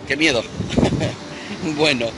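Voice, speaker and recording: Mexican man vlogging in loud wind. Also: fairly even intonation, takes it slowly. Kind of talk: casual chat to camera. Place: outdoors on an open windy beach. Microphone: handheld phone at arm's length. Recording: loud wind rumbling on phone microphone.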